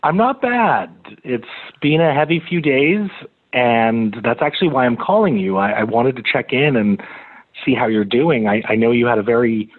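A man hosting a conversational phone interview in a gentle, tentative and supportive way. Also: medium pitch, expressive, normal speed. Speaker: host, interviewer. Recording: narrow phone-like sound.

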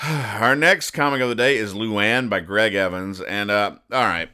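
A male podcast host with a fairly low, bright and forceful voice. He explains comic strips conversationally but with mock seriousness, wandering into pedantic digressions and acting out bits of dialogue. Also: a little slow, clean tone.

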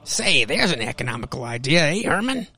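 A man's voice: fairly high pitch, big pitch swings, brisk, bright, forceful tone, no echo, full character voice. A man voicing an agreeable, admiring restaurant business partner with a folksy manner.

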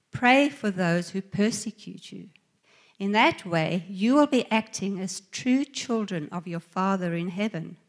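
A woman reads a Bible passage aloud to a congregation. She takes her time over every phrase and keeps a steady, unhurried delivery. Her voice sits in a middle pitch for a woman. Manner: slow, measured reading. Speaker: scripture reader. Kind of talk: Bible reading.

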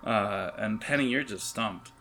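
A man's voice: lowish voice, a little slow, over music. A man narrating a tabletop game as game master in a casual, rambling, improvised way. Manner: rambling, improvising, hesitant phrasing.

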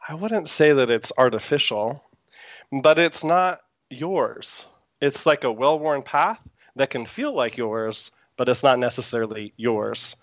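A man's voice: mid-range voice, no room sound, highs cut off. A man hosting a live internet radio talk show, chatty and meandering with frequent fillers, speaking through a sore throat.

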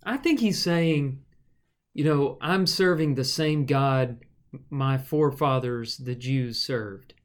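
A man's voice: medium pitch, takes it slowly. A man co-hosting a casual Bible study discussion, musing aloud in a rambling, thoughtful way with the odd joke. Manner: thoughtful, rambling, jokey asides. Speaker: podcast co-host.

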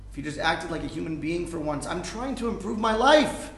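A man acting out a fretful, fed-up younger brother in a live comedic play reading. His voice is high for a man, with terse, testy replies. It rings in a roomy space. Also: expressive, a little fast, a bit bright. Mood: angry, resolute. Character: exasperated, anxious younger brother standing his ground. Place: indoor room before a live audience. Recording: roomy live stage recording.

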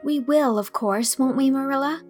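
A woman's voice: a little high, normal speed, faint music behind, natural voice. A woman voicing an eager, earnest young woman.

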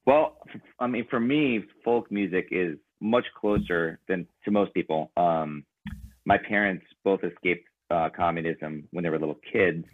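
Deep male guest, native Ukrainian speaker, loose and meandering, phone-quality dry audio. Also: measured pace, no room sound. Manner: thoughtful, rambling with fillers. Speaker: band member guest. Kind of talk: casual interview conversation. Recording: tinny phone-like line.

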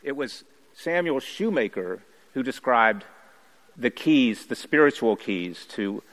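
A man's voice: medium pitch, lively intonation. Earnest man speaking in a reverberant hall. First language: Haitian Creole. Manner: earnest, reflective, measured. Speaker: priest and pastor. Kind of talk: personal recovery testimony.

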